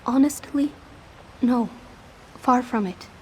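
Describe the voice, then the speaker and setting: Fantasy game noble daughter, voiced by a woman: exhausted, courteous, rambling, moderately high pitch. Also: slow pace, warm tone, slight background noise, low-quality recording. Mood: weary, apologetic. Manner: apologetic, rambling. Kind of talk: scripted fantasy game dialogue.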